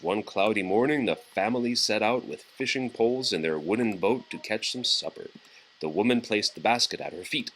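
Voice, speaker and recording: A man's voice: lowish voice, fairly bright tone, low-quality recording. A man reading a children's picture book aloud as a story for a young child.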